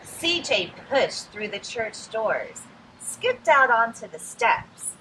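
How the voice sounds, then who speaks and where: A woman reading a picture book aloud outdoors to young children, expressive and addressing them directly as friends. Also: a little high, slow, low-quality recording. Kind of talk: animated read-aloud storytelling for young children. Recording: some background noise, outdoor ambience.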